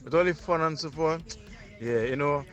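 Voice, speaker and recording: A man's voice: a little high, moderate pace, fairly bright tone, highs cut off. A man sending in a blunt, boastful message to a radio show.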